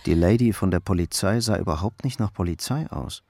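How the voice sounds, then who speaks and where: A man's voice: low-pitched, fairly quick, vocal fry, dark tone, no echo. A man narrating a crime radio play in a cool, matter-of-fact, dry way.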